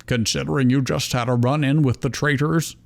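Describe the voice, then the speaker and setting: A man voicing a calm, caring but stern frog ninja creature, scolding yet gentle, in a fan fiction reading. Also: mid-range voice, fairly quick, creaky, no room sound, pitched above the performer's own voice, close to an everyday voice. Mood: matter-of-fact.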